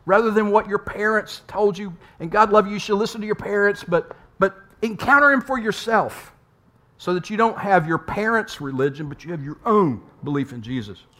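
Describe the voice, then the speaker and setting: A man's voice: high-pitched, moderate pace, bright, forceful tone. A man preaching a sermon in a conversational way, asking rhetorical questions and sometimes repeating words as he goes. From United States. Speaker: pastor.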